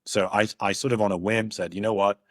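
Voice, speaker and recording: A man's voice: lowish voice, fairly even intonation, fast talker. A young man from Hong Kong, a podcast guest, tells his life story in a rambling, reflective way with many restarts. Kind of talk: casual storytelling interview.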